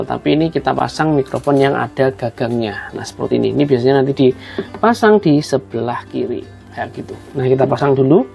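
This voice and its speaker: A man's voice: medium pitch, vocal fry, a little mellow. A man explains a hands-on job step by step in a casual, conversational way, addressing viewers as friends. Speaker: host of a tutorial video.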